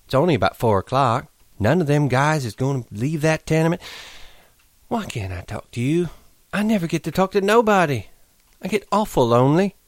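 A man voicing a lonely, restless young woman on a ranch, talking in a quick, coaxing, pleading way. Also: a little high, very animated, no room sound, pitched above the performer's own voice. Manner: pleading, fast-tumbling, coaxing.

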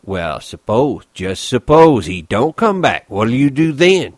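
A man's voice: mid-range voice, very animated, slow, a little mellow, no room sound, clean studio sound, voice pushed up. A man voicing a lonely, bitter stable hand in an audiobook, taunting and scornful, brooding in colloquial rural ranch talk.

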